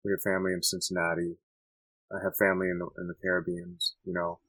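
A Canadian man answering interview questions in a thoughtful, halting way with many restarts. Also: low voice, completely flat delivery, fairly bright tone, husky, no echo, poor audio. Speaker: musician guest.

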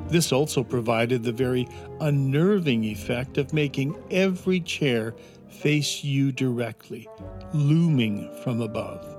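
Casting: male narrator for children's fantasy drama, unhurried scripted storytelling, mid-range voice, faint music beneath. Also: medium pitch, expressive. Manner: measured, descriptive.

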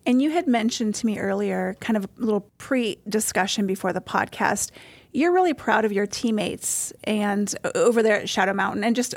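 A woman's voice: medium pitch, moderate pace, vocal fry, no echo. An American woman co-hosting a podcast, commenting and asking questions in a conversational way. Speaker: podcast co-host. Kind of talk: conversational interviewing.

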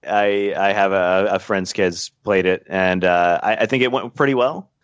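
A man's voice: low-pitched, fairly even intonation, normal speed, clear, resonant tone, no echo. A man explaining game design at length as a podcast guest, thoughtful and full of small fillers. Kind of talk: casual podcast chat. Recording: dull, dry podcast audio.

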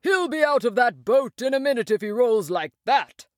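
Storybook rat, male, chummy and sociable, as a female reader puts him on, lively swooping voice, dry close sound. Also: on the high side, dry recording, pitched above the performer's own voice, close to an everyday voice. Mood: friendly. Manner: brisk, chummy, gossiping. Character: cheerful sociable male water rat, chatty. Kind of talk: storybook voice acting.

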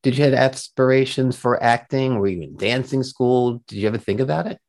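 A man's voice: medium pitch, fairly quick, darkish tone. A man hosting an interview show, introducing his guest and asking questions.

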